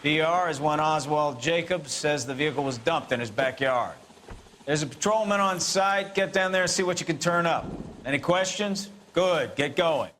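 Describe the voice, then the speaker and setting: An actor playing a curt, commanding American police captain giving a briefing in a video game. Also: male voice, a little high, moderate pace, fairly bright tone, slight background noise, lightly acted. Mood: brisk, commanding.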